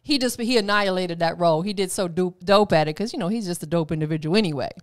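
Middle-aged woman host; smooth, slightly high voice, dry close mic, chatty. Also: quick pace, no echo. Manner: chatty, laughs often, says "you know". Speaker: podcast host. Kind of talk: casual interview chat. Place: podcast studio. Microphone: studio mic on boom.

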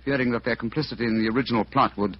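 Man acting a brisk detective, muffled old radio. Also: medium pitch, fairly even intonation, slightly rough, no room sound, close to an everyday voice. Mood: determined. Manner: incisive, articulate, authoritative. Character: brilliant, brisk, exacting English gentleman detective. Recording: dull, hissy old radio recording.

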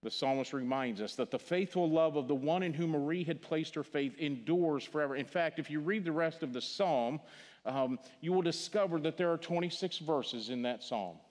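Missouri-accented pastor in a reverberant church. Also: male voice, a little high, moderate pace. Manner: earnest, repeats words, drops to a hush on key phrases. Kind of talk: funeral sermon. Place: large church sanctuary stage. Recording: long hall reverb from the church.

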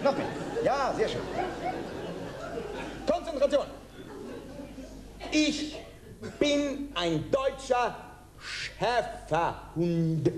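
An actor playing a smug, patronizing psychiatrist in a stage cabaret sketch, soothing and condescending, recorded live in a roomy theater with an audience. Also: male voice, very high voice, animated, very slow, pauses often, crowd noise, heavily acted. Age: middle-aged. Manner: condescending, soothing, repeats "mein lieber Herr Meier".

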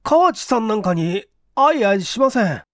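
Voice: medium pitch, very animated, normal speed, dry recording, studio quality, voice pushed up, natural voice. A performer voicing a nervous, cornered male clerk in an audiobook, stammering and flustered as he pleads innocence. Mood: flustered protest.

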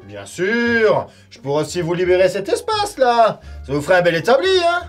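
A man's voice: high-pitched, wildly swooping pitch, brisk, faint music behind. An actor in his forties playing a short-tempered, sarcastic medieval warrior in a comedy sketch, recorded on a stone cellar set. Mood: mock-friendly. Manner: mock-friendly, then sarcastic and insulting, shouting at times. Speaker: warrior who owns the wine cellar.

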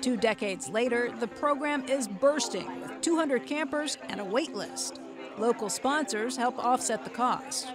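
High-voiced American woman narrating, music behind. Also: animated, a little slow. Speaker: documentary narrator. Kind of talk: scripted documentary narration.

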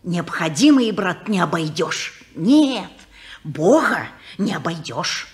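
A woman's voice: lowish voice, big pitch swings, takes it slowly. An actress playing a preachy, pious older woman who pleads about truth and God, in a 1950s radio play.

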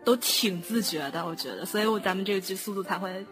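A woman's voice: mid-range voice, fast. A woman chatting casually, rambling a little and correcting herself as she goes. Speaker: audio drama producer. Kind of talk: casual chat. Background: background music.

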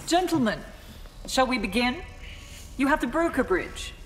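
A woman's voice: sits high, very animated, unhurried. An actress playing a composed, clinical woman scientist in a video game, explaining things with formal detachment. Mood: cool, clinical. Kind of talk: video game cutscene acting. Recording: echoey hall, faint ambient noise.

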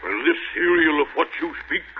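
A man playing a greedy, pompous, blustering fairy-tale giant with a gravelly voice in an old radio play. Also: on the high side, expressive, moderate pace. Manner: pompous, archaic speech. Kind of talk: 1930s radio acting. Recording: tinny, noisy 1930s radio recording.

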